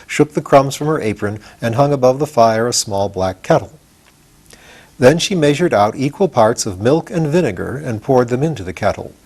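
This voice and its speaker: A man, reading a children's story aloud as expressive audiobook narration. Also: mid-range voice. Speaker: audiobook reader.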